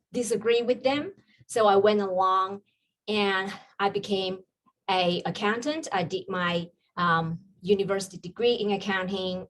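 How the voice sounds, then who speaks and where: A woman's voice: mid-range voice, a bit bright, a little hiss or hum, poor audio. A woman from China with a Chinese accent, a native Chinese speaker, telling her own story as an interview guest. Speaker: guest, mindset coach.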